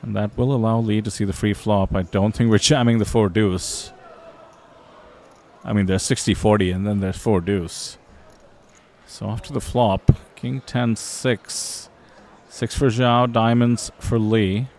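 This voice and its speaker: A man with a fairly low voice calls a poker match from a commentary booth. He breaks down each hand in an analytical way, with a dry sense of humor. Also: steady tone, measured pace, a little mellow.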